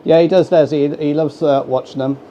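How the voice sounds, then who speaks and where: Stuffy-voiced Irish man, relaxed chat. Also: fairly high pitch, a little fast. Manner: relaxed and chatty, greets viewers by name. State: stuffy with a head cold. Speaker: live stream host. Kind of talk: casual chat to a live stream. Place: home model railway room. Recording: dull, slightly lo-fi home mic with faint noise.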